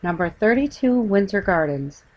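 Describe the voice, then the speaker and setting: A woman's voice: medium pitch, expressive, moderate pace, lo-fi sound, natural voice. Woman as a mild, polite father. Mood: helpful. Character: mild, hesitant, jolly middle-aged father.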